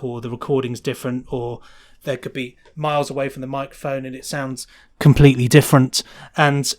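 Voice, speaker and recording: A British man speaks as a guest on a casual podcast. His pitch sits in the middle of the male range. He explains a technical working process in a conversational way, often acting out short quotes to make a point. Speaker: guest sound designer being interviewed.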